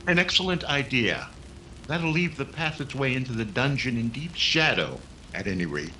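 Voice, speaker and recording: A man's voice: medium pitch, big pitch swings, normal speed, bright, forceful tone, slight background noise, rough recording. A man playing an earnest, commanding psychic investigator who speaks formally and intensely, in a 1930s-style radio drama. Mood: formal, eager.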